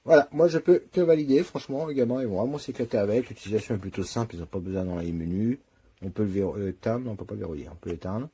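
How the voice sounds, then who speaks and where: A man with a fairly low, husky voice reviews a product off the cuff. His delivery is casual and colloquial, and he thinks aloud while showing how things work. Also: rapid-fire, mellow.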